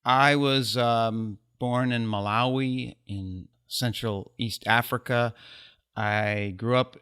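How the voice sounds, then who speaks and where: A man from the United States, a guest photographer in a casual interview, speaking reflectively with wry, self-deprecating humor and pauses filled with ums. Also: mid-range voice, fairly even intonation, a bit bright, smooth.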